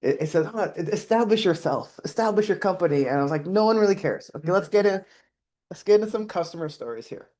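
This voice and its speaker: Middle-aged guy presenting at a conference, fairly high voice, loose and joking, stumbles and restarts a lot. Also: animated, fast, a little mellow. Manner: casual, self-deprecating, many restarts and fillers. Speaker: conference speaker. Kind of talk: conference keynote talk. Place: conference stage before an audience.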